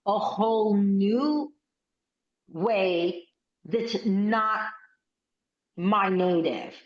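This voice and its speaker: A woman, chatting on a video call as a workshop participant and telling stories with quick, animated bursts. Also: mid-range voice. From United States. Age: 60s. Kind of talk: casual video call chat. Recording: roomy, slightly muffled call audio.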